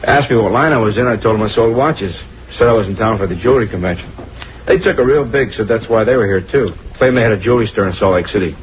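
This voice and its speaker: A chatty, rueful male salesman played by a radio actor rambles quickly in a fairly low voice. He is hesitant and self-blaming, in old radio drama style. The recording sounds muffled. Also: slight background noise, lightly acted. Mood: chatty, sheepish. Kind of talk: 1940s-50s radio drama acting.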